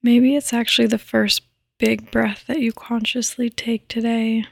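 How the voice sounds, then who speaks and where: A woman gently guiding a sleep meditation in a soft, breathy, soothing voice that often nears a whisper, close to the microphone. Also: a little high, level tone, a little slow, no echo. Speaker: podcast host and meditation guide. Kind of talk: guided meditation, sleep podcast.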